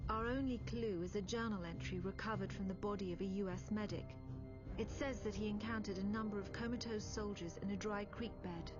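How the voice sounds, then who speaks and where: A woman playing a composed officer who recounts a war in measured, grave, matter-of-fact scripted narration. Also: medium pitch, highs cut off, close to an everyday voice. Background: clear background music.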